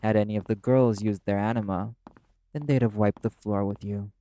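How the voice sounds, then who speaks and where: A man's voice: lowish voice, steady tone, moderate pace, background noise, natural voice. A man playing a brash, proud demon in an audio roleplay, terse, scornful and commanding in manner. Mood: impatient, scornful. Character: brash, proud, curt demon, prickly and guarded.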